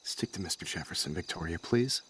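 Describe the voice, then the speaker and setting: Low-voiced, measured male teacher. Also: lively intonation, a little hiss or hum. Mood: firm, stern. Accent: American. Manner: measured, formal, firm. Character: composed, stern male high school teacher. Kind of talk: video game voice acting.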